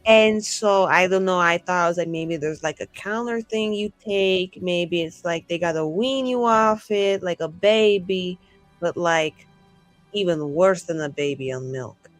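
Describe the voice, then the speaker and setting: A woman's voice: fairly low pitch, a little slow, dry recording, soft background music. A woman playing a tabletop roleplaying game, improvising in casual, slangy, sweary talk with a wry, rambling manner.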